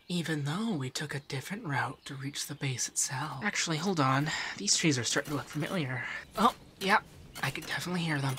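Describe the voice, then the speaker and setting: A man playing a doting, teasing feminine male monster companion in a softspoken, often whispered ASMR roleplay. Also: fairly high pitch, full character voice. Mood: soft, relieved. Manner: breathy, often dropping to a whisper.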